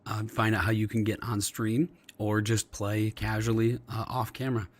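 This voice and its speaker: A man hosting a casual livestream, his voice slightly scratchy and subdued as he recovers from losing it, hesitant and often clearing his throat. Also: lowish voice, flat delivery, normal speed. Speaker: streamer, host. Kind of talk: casual livestream chat with viewers and fellow players. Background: music.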